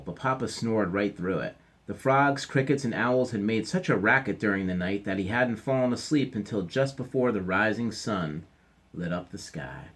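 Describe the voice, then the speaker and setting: A man teacher reading a picture book aloud to children, stopping for gentle chatty asides. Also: lowish voice.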